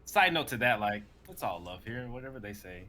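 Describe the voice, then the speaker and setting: A man's voice: on the low side, sing-song, fairly quick, sharp tone. A young man in casual, emphatic livestream chat. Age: young adult.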